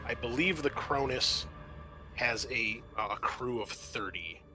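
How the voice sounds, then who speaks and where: A man runs a tabletop roleplaying game as its game master. His voice is bright and forward, and he feels his way haltingly through improvised narration. Music plays behind him. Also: mid-range voice, lively intonation.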